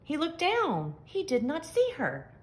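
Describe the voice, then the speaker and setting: A woman reading a picture book aloud to young children, with expressive, simple and emphatic phrasing. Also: high voice, measured pace. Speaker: children's story reader. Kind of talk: expressive storybook read-aloud.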